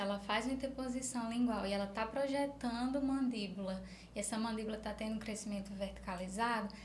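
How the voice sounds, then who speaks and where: A Northeastern Brazilian woman speaks as an interview guest in a fairly high voice. She explains things conversationally, with examples, like a practising therapist. The room adds clear echo, with faint noise underneath.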